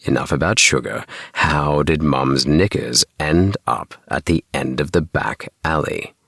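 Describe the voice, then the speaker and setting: Mock-stern but mild father in a kids' audiobook, voiced by a male narrator, extremely deep, dry and close. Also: a little slow, creaky, fairly bright tone, dry recording, exaggerated voice. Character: mild, reasonable, slightly cowardly father.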